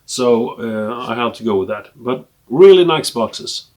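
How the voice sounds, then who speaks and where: A man from Sweden with a Swedish accent, a native Swedish speaker, casually showing off a collection in English in a hesitant, halting way with trailing asides. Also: medium pitch, expressive, darkish tone. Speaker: film collector presenting a collection. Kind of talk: casual unscripted show-and-tell.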